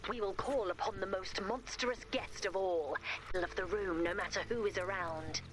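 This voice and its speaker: A woman's voice: mid-range voice, moderate pace, noisy background, natural voice. A woman playing a spirited, bossy, romantic young heroine in a video game, with an emphatic, upbeat delivery. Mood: breezy, self-satisfied. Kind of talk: video game character voice acting. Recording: loud music behind the voice.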